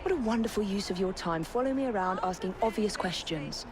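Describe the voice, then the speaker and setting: An actress playing a composed young Victorian lady assassin in a game, with an English accent and a crisp, dry manner. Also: mid-range voice, animated, brisk, close to an everyday voice. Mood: irritated. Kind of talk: video game cutscene acting.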